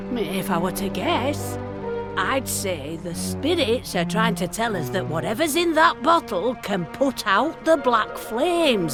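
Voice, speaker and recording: A woman voicing a spirited, blunt and cheeky village medicine woman in a video game, with a Northern English accent and a theatrical, folksy delivery. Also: a little high.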